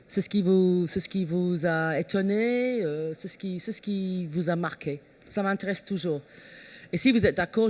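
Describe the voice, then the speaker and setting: A woman's voice: lowish voice, darkish tone. A woman hosting a trade show stage, welcoming the audience and introducing a speaker in a chatty way with frequent fillers. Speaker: event host.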